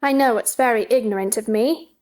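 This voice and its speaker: A woman's voice: fairly high pitch, brisk, darkish tone, natural voice. A woman playing a polite, curious, bewildered seven-year-old girl in a theatrical audio drama, earnest and wondering. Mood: polite, puzzled.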